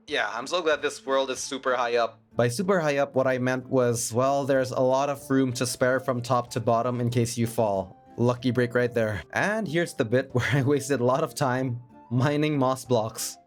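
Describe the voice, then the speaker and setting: A man narrating his gameplay in a chatty, self-mocking voice-over, a bit raspy from a cold. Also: medium pitch. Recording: faint background music.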